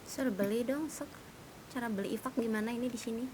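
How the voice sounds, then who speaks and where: A woman chatting casually with other players while playing a roleplay game. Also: a little fast. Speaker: gamer streaming a roleplay game. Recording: rumbly background noise.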